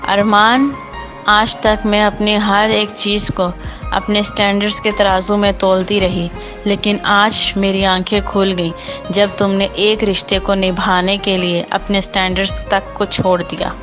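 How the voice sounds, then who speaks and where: A woman's voice: medium pitch, level tone, a little slow, lightly acted. A middle-aged woman acting a proud, status-minded mother in a melodrama, solemn and declamatory. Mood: remorseful. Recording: prominent background music.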